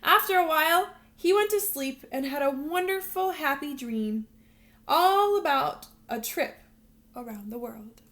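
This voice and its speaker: Bright, high-voiced woman reading to children. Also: unhurried. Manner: expressive, instructional, addressing young children. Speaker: teacher reading a picture book to children. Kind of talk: animated read-aloud storytelling with questions to young learners.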